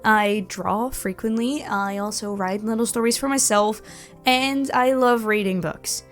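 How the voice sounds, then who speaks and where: A woman's voice: mid-range voice, fairly even intonation, measured pace. A woman talking casually and animatedly to her listeners, in a self-effacing way, like a creator answering questions. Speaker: audio roleplay creator, channel host. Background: background music.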